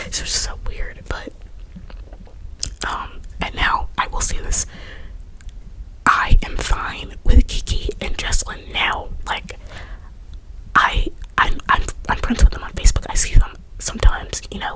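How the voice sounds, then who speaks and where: A young adult woman whispering a casual personal story to her viewers, chatty and full of fillers. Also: low-quality recording. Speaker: vlogger.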